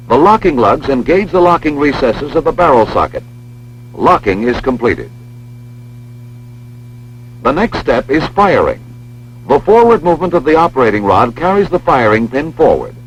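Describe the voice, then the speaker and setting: An American man reading scripted instructional narration for a training film in a measured, precise, formal manner. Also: mid-range voice. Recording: dull lo-fi film soundtrack, humming noise.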